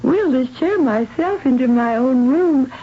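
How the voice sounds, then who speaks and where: A sweet old lady with a very high voice and a slow, wry delivery. She is heard in a 1970s radio drama on a dull old recording. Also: expressive, slight background noise. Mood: gentle, resigned. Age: older.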